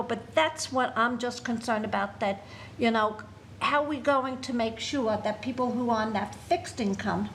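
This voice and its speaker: Woman, older, New York accent, halting with fillers, earnest, faint background hiss. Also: a little high, rough recording. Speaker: alderman on a city committee. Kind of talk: public meeting discussion.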